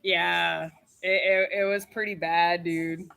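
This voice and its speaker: A woman's voice: fairly low pitch, little pitch movement, takes it slowly, vocal fry, pushed and bright, clear, resonant tone, no room sound. A young woman personal trainer in casual, blunt chat, swearing and laughing easily, then coaching a workout.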